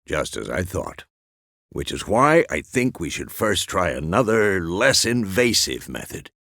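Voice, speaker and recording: A man playing a gruff, weathered old monster-hunter mentor in a video game, terse and dry, with a gravelly voice. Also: sits low, very animated, measured pace, a bit bright, no room sound, full character voice. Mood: dry, measured. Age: older. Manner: terse, clipped phrases, dry. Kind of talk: scripted video game character dialogue.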